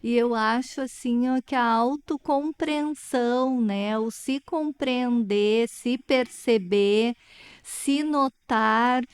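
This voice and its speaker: A woman, interviewing in a thoughtful, personal, unhurried way. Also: fairly high pitch, smooth. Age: 40s. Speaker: interviewer, educator. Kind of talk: reflective conversational interview.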